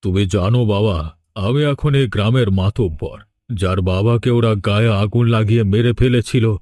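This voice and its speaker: A man's voice: on the low side, moderate pace, a little mellow, no echo, studio quality, close to an everyday voice. A man playing a sombre, middle-aged villager in a horror cartoon, earnest and subdued. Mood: brooding.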